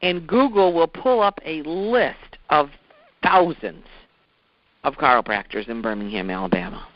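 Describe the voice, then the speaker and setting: Animated man teaching, in dull lo-fi audio. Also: on the high side, unhurried, no echo. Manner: conversational, explanatory, step-by-step, repeats key points. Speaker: sales training presenter. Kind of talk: informal instructional talk.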